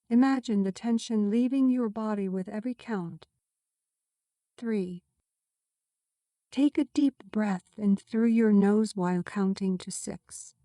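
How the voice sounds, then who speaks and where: A woman reading a scripted guided meditation as a guide, giving soothing, measured instructions. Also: medium pitch, mellow, dry recording, clean studio sound.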